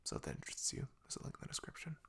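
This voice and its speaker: A man giving a soft-spoken update as an ASMR audio creator, hushed and often slipping into a whisper. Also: rapid-fire.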